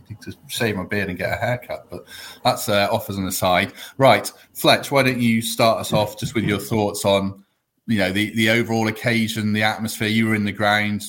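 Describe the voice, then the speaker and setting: Lowish-voiced male podcast host at home. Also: a bit bright. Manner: friendly, self-deprecating asides. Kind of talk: casual podcast chat.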